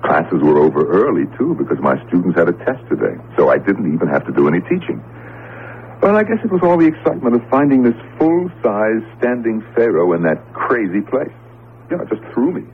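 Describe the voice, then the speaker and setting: An actor with an American accent playing an eager, earnest, absent-minded professor husband in a 1970s radio drama. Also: male voice, on the low side, big pitch swings, fairly quick, narrow, tinny audio. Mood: sheepish. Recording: noisy, thin 1970s AM radio recording.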